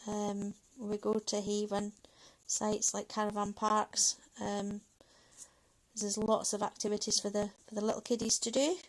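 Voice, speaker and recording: A woman's voice: medium pitch, monotone, measured pace, poor audio. A woman in her thirties from Manchester, with a Mancunian accent touched by a Scottish lilt, chatting in a rambling way with many fillers as she hosts a livestream. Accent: Mancunian English with a Scottish lilt. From England, Manchester. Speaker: livestream host. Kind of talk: casual chat while colouring.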